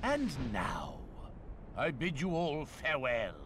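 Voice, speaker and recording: Slow, swooping, haughty sorcerer villain. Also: male voice, fairly high pitch, huge pitch swings, noisy background, heavily acted. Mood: cold scheming. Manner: theatrical, drawling, menacing. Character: haughty, scheming male sorcerer villain, middle-aged. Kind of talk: video game cutscene acting.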